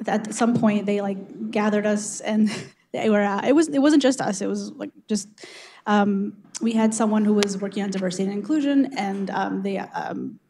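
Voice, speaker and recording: A woman with a mellow, soft tone answers on a panel, pausing often with ums as she gathers her thoughts. Her speech feels unhurried and a little halting. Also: mid-range voice, husky. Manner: hesitant, many ums. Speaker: panelist, engineer.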